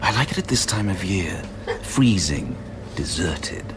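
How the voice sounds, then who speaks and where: An actor playing a dry, formal, middle-aged bookseller in a radio drama, speaking in measured, literary sentences. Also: male voice, low voice, lively intonation, noisy background. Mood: dry, wistful.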